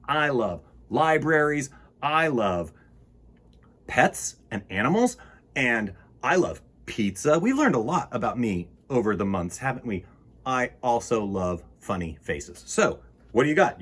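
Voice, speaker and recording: A man's voice: medium pitch, fairly bright tone, slightly rough. A man hosting a children's storytime, reading and chatting to young listeners in a playful, whimsical, rambling way. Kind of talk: picture-book reading and playful chat to children.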